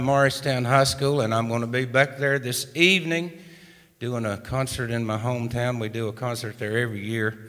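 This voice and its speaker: A man from East Tennessee with an East Tennessee accent, spinning a funny story in an unhurried folksy drawl as a singer-songwriter and storyteller on a live radio stage. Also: mid-range voice, a bit bright, long reverb tail. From East Tennessee, USA.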